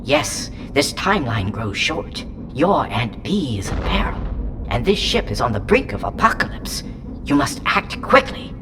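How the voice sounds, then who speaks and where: A woman's voice: rumbling low, very animated, unhurried, background noise, heavily acted. A woman playing a whimsical, unhinged sentient artificial mind in an audio drama, speaking in a theatrical, eccentric way. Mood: grave, urgent. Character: whimsical, unhinged sentient shard voice inhabiting a robot.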